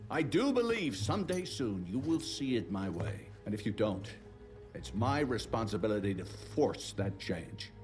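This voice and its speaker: A man's voice: fairly low pitch, very animated, normal speed, over music. An actor playing a condescending, domineering male director who talks down to his son. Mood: imperious. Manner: condescending, imperious. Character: cold, domineering male director, middle-aged. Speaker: institute director.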